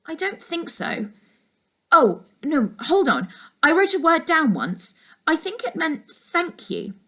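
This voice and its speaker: A woman voicing an anxious, stubborn young girl in a storybook, excitable and insistent. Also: very high-pitched, slow, voice pushed up, full character voice. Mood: thoughtful. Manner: excitable, urgent, pleading.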